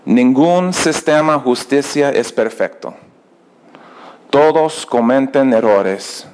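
A man's voice: mid-range voice, darkish tone, smooth. A middle-aged man with an American English accent, a native English speaker, giving a prepared, earnest keynote at an auditorium podium. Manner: slow, careful, earnest delivery. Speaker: keynote speaker, project director. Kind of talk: prepared speech in a second language. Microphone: podium microphone.

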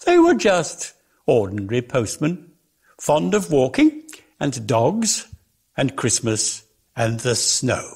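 A man giving an expressive, theatrical reading of lyrical prose as a measured storyteller. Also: medium pitch, slightly rough. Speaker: reader of a dramatic story.

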